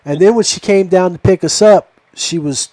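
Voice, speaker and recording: Higher-voiced man telling rambling stories. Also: normal speed, a little mellow, no echo. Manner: rambling, folksy storyteller. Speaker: podcast host. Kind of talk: casual chat, storytelling.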